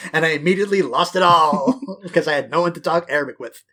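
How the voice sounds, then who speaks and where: A man's voice: a little high, a little fast, pushed and bright. A man in his thirties from West Quebec, co-hosting a podcast and telling rambling, self-deprecating stories full of swearing. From West Quebec, Canada. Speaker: podcast co-host. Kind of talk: casual podcast chat.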